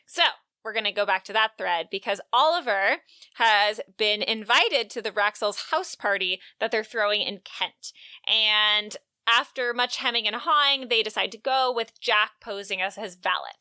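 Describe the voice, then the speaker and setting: A woman co-hosting a podcast, recapping a story conversationally with animated delivery and wry asides. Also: mid-range voice, normal speed, clear, resonant tone, dry recording. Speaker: podcast co-host.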